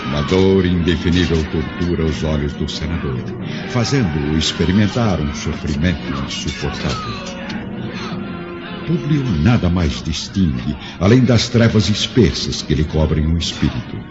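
A man narrating a radio play in a grave, measured, literary storytelling manner. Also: rumbling low, lively intonation. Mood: grave, dramatic. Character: solemn male storyteller of an ancient Roman tragedy. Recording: dull lo-fi audio with music behind.

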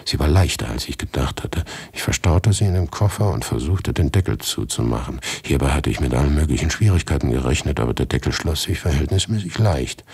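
An actor playing a morbid, harried writer who narrates his grim predicament deliberately and dryly in a radio drama. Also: extremely low pitch, expressive, a little mellow, slightly rough, dry recording, full character voice. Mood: grimly matter-of-fact. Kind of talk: literary radio drama monologue, first-person narration.

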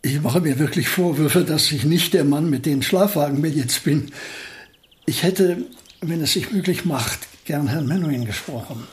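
An actor playing a gentle, absent-minded elderly physicist in a German radio play, hesitant, courteous and apologetic. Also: male voice, a little high, normal speed, lightly acted.

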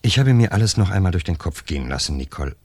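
Casting: uneasy accomplice in a seventies radio thriller, husky lowish voice, cautious, reasoning, widely varying intonation. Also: male voice, lively intonation, fast talker, darkish tone, exaggerated voice. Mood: hesitant, doubtful. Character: hesitant, nervous male lover and reluctant accomplice. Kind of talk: 1970s German crime radio play acting.

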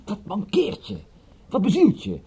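A scornful police investigator in a radio drama. His voice is husky and very mellow, and he hectors with sternness and sarcasm, his pitch moving in wide swings. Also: huge pitch swings, a little slow, heavily acted. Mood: indignant, scolding. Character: indignant, officious male police investigator, scornful and threatening.

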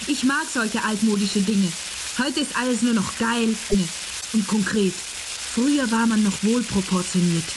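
Woman acting, young student, radio play, blithe, flippant, lightly high pitch, loud frying hiss. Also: a little slow, natural voice. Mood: nonchalant. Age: young adult. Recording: loud sizzling frying pan behind. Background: food frying.

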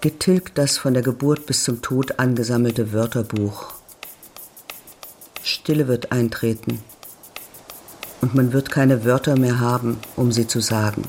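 A performer in a literary radio drama, playing a solemn narrator who recites idioms and reflections sentence by sentence, deliberately. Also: mid-range voice, fairly even intonation, darkish tone, lightly acted. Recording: faint music behind the voice.

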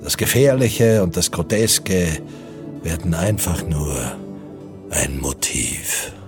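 An actor as the sober narrator of a radio play, reading a scripted literary account in a measured, detached manner. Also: male voice, sits low, over music. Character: sober male narrator of wartime novel-like account.

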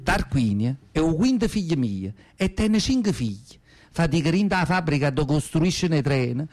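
An elderly, plainspoken mother from southern Italy, reminisces in a radio play with a thick Neapolitan accent. The voice sits fairly high, confiding and rambling as it circles back over phrases. Plucked guitar music plays clearly behind it. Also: very animated, normal speed, darkish tone. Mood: resigned, plaintive. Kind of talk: radio theatre monologue in dialect.

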